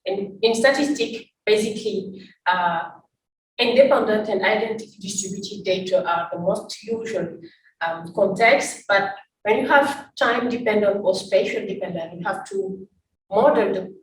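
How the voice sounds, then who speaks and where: Senegalese woman lecturing from a distant mic. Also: medium pitch. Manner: halting, many fillers and restarts. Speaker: invited academic speaker. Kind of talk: conference talk with slides. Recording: roomy, distant, faint noise.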